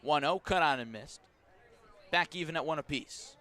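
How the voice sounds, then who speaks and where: An American man doing live radio baseball commentary, descriptive and clipped, with phrases that quicken and lift on the action. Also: a little high, bright, forceful tone. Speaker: baseball play-by-play announcer. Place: outdoor baseball stadium at night.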